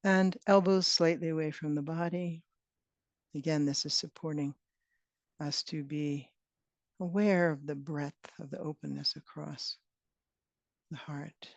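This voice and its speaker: A woman's voice: low-pitched, steady tone, creaky, no echo. Woman guiding meditation, dull dry audio. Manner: gentle, unhurried phrasing with long pauses. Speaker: meditation teacher. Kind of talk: guided meditation. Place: online retreat session, close dry sound. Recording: dull, band-limited online call audio.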